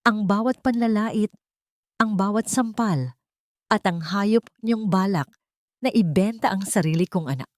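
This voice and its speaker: A woman's voice: mid-range voice, dry recording, studio quality, pitched above the performer's own voice, lightly acted. Cold, vengeful wronged mother, read by a woman. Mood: bitter, commanding. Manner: cold, bitter, deliberate.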